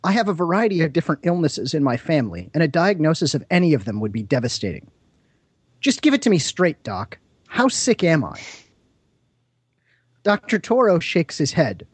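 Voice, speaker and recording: A man co-hosting a comedy podcast, reading a silly story aloud in a deadpan dramatic style. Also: a little high, normal speed, slightly rough, no room sound. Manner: reads with frequent laughs and a mock French doctor voice.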